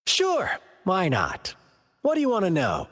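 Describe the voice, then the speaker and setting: An actor playing a mocking young male villain in a game cutscene, theatrical and sly, teasing with drawn-out lines and sudden whispers. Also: high voice, wildly swooping pitch, a bit bright, big-room sound, exaggerated voice. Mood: taunting. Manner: playful taunting, teasing drawn-out delivery with whispers. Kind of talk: video game cutscene voice acting.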